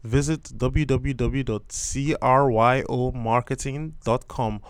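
Man, podcast host, mid pitch, steady scripted delivery, interview questions. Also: smooth. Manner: measured, reads from a script, spells things out slowly. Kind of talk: podcast interview and read-out sponsor spots.

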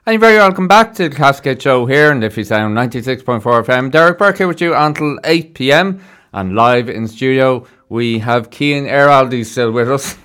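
A man's voice: medium pitch, animated, a little fast, few pauses, fairly bright tone, clean tone. An Irish man hosting a casual live radio interview in a studio. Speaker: radio show host.

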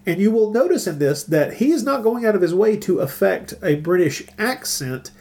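A man's voice: sits high, sing-song, moderate pace, darkish tone. A middle-aged man giving casual, enthusiastic, conversational podcast commentary. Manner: enthusiastic, chatty.

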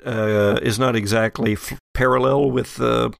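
A man acting as game master, narrating a tabletop horror game in a measured, halting way. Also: medium pitch, fairly even intonation, creaky, no echo, clean studio sound.

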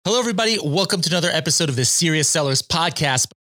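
A man's voice: fairly high pitch, animated, racing, bright, forceful tone, no echo, clean studio sound, soft background music. A man hosting a podcast, asking questions in an upbeat, conversational way. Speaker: podcast host. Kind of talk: podcast interview.

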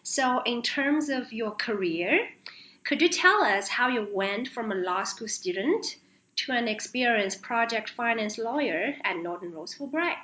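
A woman's voice: on the high side, lively intonation, a little slow, sharp tone. A woman hosting an interview, politely asking prepared questions. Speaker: interviewer, podcast host. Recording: slightly dull, echoey room recording.

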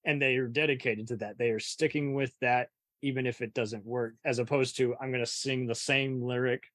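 A man's voice: mid-range voice, steady tone, a little fast, fairly bright tone, no echo. Man interviewing casually, close dry sound. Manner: rambling, opinionated, film-buff commentary.

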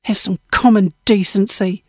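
A woman's voice: mid-range voice, fairly even intonation, moderate pace, no echo, studio quality, lightly acted. A woman voicing a tough, hot-tempered young woman with a blunt, combative way of talking in an audiobook. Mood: simmering anger.

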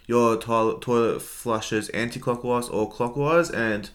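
A man's voice: medium pitch, unhurried. A young man from Melbourne with an Australian accent, chatting casually and dryly as a podcast co-host.

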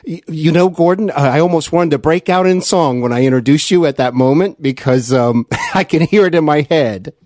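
A man's voice: fairly high pitch, moderate pace, hardly any pauses, darkish tone, dry recording, studio quality. A man hosting a podcast, effusive and emphatic, delivering introductions and interview talk. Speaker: podcast host.

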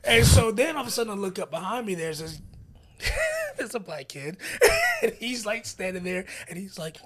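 Casting note: man, 40s, lively storyteller, pitch swings a lot, a little high. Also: normal speed. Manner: animated storyteller who acts out quotes with sudden loud bursts. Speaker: guest, military veteran and MMA fighter. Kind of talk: casual chat, storytelling.

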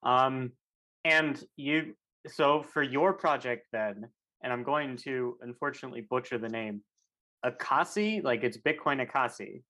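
A man's voice: mid-range voice, a little slow, bright, forceful tone. A man with a mid-range voice hosts an interview. He asks long, winding questions and fills them with "you know" and "like". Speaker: interviewer, host. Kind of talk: casual interview conversation.

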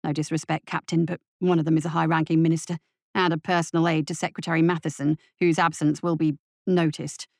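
A woman doing a low-voiced, grim male deputy. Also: level tone, fast, vocal fry, no echo, clean studio sound. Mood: coldly pragmatic. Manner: grim, deferential, matter-of-fact. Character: dutiful, pragmatic male police deputy reporting to his captain.

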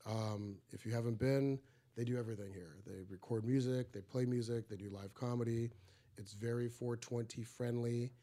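A man's voice: medium pitch, fairly even intonation, moderate pace, mellow. A man hosting a casual podcast in a studio, chatting and joking in a loose, rambling way. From Egypt. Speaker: podcast host.

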